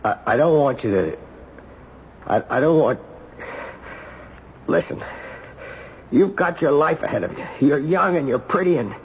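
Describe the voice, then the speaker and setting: Weary, tender tough guy, swinging pitch. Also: expressive, creaky, slight background noise. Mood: tender, resigned. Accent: American. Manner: halting, plain-spoken. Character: weary, tender condemned man, tough but loving. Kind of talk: radio drama acting. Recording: dull lo-fi old radio recording.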